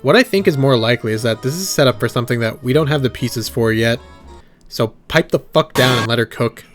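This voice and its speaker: Low-voiced man, casual sweary commentary over music. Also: fairly quick. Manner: informal, wry, sweary. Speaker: video essayist and reactor. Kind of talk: casual horror series commentary with live reactions. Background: background music.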